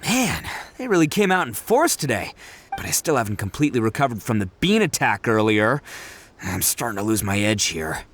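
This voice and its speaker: An actor playing a loud, boastful young male oni gang boss in a video game, laughing often. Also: fairly low pitch, big pitch swings, normal speed. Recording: game audio with music behind.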